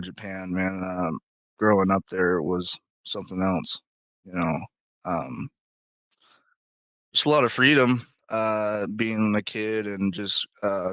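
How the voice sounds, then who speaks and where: A man's voice: on the low side, fairly bright tone, no echo. A young man, a musician guest, chatting casually in an interview, telling rambling stories and swearing freely. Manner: laid-back, swears freely, rambling storyteller.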